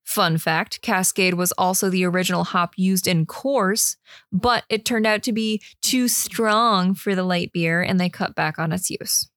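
A woman's voice: on the low side, unhurried, a bit bright, no echo, clean studio sound. A woman co-hosting a casual beer podcast, reading out her research notes with easy asides.

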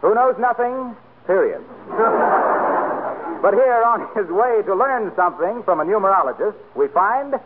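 High, animated 1940s radio announcer man. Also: a little slow, pauses often, narrow, tinny audio. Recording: noisy, thin 1940s radio broadcast.

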